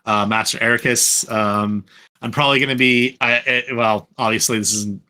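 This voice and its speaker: A man's voice: mid-range voice, steady tone, normal speed, sharp tone. A man chatting casually as a podcast panelist, rambling and correcting himself as he goes, often saying "like". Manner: rambling, self-correcting, frequent "like". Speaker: podcast panelist and fan dub voice actor. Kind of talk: casual group chat.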